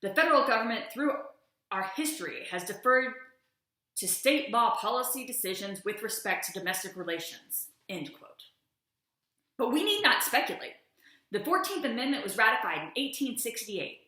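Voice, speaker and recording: A woman's voice: on the high side, lively intonation, normal speed, sharp tone. A woman in her thirties giving a theatrical, emphatic dramatic reading of formal legal text into a camera microphone at home. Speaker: host of a video channel, reader. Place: room at home beside a stairwell, indoors. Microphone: camera or laptop microphone at about arm's length. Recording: very echoey home room.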